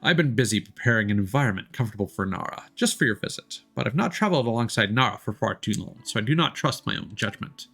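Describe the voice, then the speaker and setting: A man voicing a wise, gentle old forest spirit guardian from a video game, with formal, measured speech. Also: on the low side, animated, creaky, soft background music, exaggerated voice. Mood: courteous.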